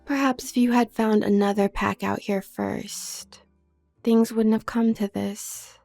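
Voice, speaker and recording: A woman playing a haughty, manipulative younger werewolf sister from a noble family in an audio drama, speaking with condescending, needling smoothness. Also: medium pitch, steady tone, slow, dark tone, soft background music. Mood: smug, needling. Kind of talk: scripted audio roleplay drama.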